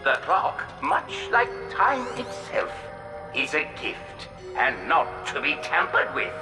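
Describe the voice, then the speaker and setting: A man's voice: on the high side, very animated. Solemn fatherly inventor, echoing hall. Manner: grave, measured, formal. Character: solemn, wise fatherly male inventor leaving a message. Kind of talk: video game cutscene monologue. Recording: echoey hall, music behind.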